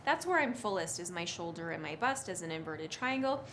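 A woman's voice: on the low side, a little fast, slight background noise. A woman, presenting fashion on a live sales stream in a chatty, effusive way. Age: 30s. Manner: animated, effusive. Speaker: presenter and model on a fashion shopping livestream. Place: indoor studio with brick wall, standing back from camera.

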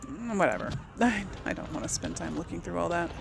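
A woman's voice: on the low side, animated, fairly quick, creaky. A woman hosting a casual game playthrough, chatty and hesitant as she thinks aloud. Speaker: gaming video host. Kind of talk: casual let's play commentary.

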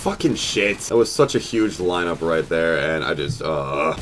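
A young man in his bedroom on a headset, doing casual, excitable, swearing commentary while gaming, still a bit nasal from losing his voice. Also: deep voice, normal speed, background noise. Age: young adult. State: slightly nasal, recovering lost voice. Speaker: gaming YouTuber.

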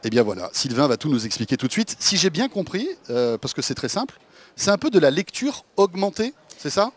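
A man's voice: a little high, sing-song, brisk, slightly rough. A middle-aged man hosting a live tech show, teasing and joking with his guests, on a handheld mic outdoors. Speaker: host.

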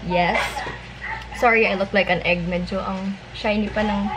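A woman's voice: medium pitch, fairly even intonation, moderate pace, low-quality recording. A woman in her twenties with a Filipino accent, chatting informally and playfully in a casual home cooking vlog. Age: 20s. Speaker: vlogger. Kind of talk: casual cooking vlog chat, mixing Tagalog and English. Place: home kitchen and living room, indoors. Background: background music.

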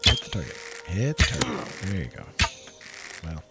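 An easygoing man with a low voice commentates casually on a game. He muses to himself as he plays, with prominent game music running behind him. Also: sing-song, moderate pace. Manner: easygoing, musing, talks to himself. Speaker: gameplay host. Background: game music and sounds.

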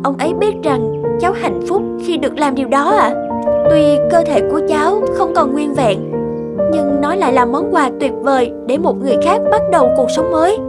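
A woman playing a gentle, selfless young woman in a sentimental cartoon, speaking earnestly and politely. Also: high voice, expressive, normal speed. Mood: earnest, serene. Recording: music behind the voice.

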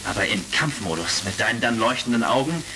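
An actor playing a confident, heroic space commander in a retro radio play, giving assured orders. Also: male voice, medium pitch, expressive, fairly quick, vocal fry. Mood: calm, sly. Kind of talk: retro science fiction radio play acting. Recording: music behind, vintage crackle.

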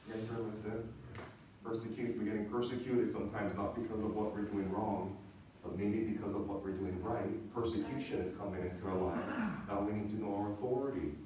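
A man's voice: on the low side, fairly even intonation, fairly quick, warm tone. A man in his thirties preaching a sermon as a pastor, teaching conversationally with repeated points and check-in questions, in a church. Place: church sanctuary, speaking from the stage. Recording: echoey church hall, distant mic, faint noise.

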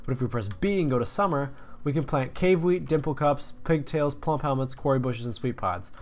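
A man's voice: medium pitch, fairly quick, darkish tone. A young man casually walking through a game tutorial, explaining step by step with fillers and restarts. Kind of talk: screen-recorded game tutorial.

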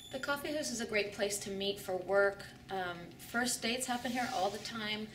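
A woman's voice: mid-range voice, a little hiss or hum. A woman who runs a coffee house, speaking in a friendly, welcoming way in a documentary interview. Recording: roomy echo, slightly distant mic, soft music.

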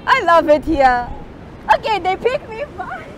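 High, lively young woman. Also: unhurried, some chatter behind, slight background noise. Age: 20s. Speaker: interview guest, university student. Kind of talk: casual playful interview chat. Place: open beach, outdoors. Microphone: small handheld mic held by interviewer.

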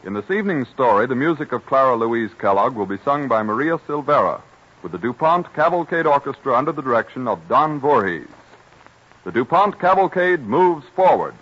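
A man's voice: medium pitch, sing-song, a little fast, slightly rough. A man with an American accent reading a formal, declamatory scripted announcement in old-time radio style. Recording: muffled, lo-fi old radio broadcast.

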